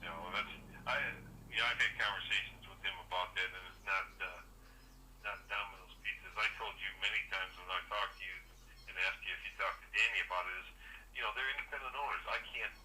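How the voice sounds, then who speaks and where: Low, piercing American man on the phone. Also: steady tone, fast, pushed and bright. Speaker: company security director. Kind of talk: phone conversation. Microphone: telephone call. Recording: noisy, distant telephone call recording.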